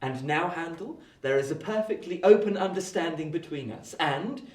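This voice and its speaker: An actor playing a genial, well-bred young London gentleman, polite, tactful and chatty, in a radio play. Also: fairly high pitch, animated, normal speed, far from the mic, lightly acted. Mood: storytelling. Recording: echoey room, off the mic.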